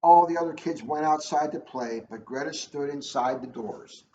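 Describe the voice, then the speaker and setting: A man's voice: a little high, moderate pace, warm tone. A man reading a children's book aloud as a police officer, chatting and joking at his own expense in casual asides. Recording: echoey room, dull lo-fi, faint noise.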